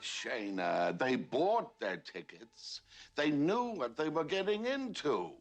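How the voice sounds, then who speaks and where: A man's voice: medium pitch, very animated, slow pace, soft background music. A man playing a callous, pompous commentator in a spoof film, with emphatic oratorical delivery. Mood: scornful.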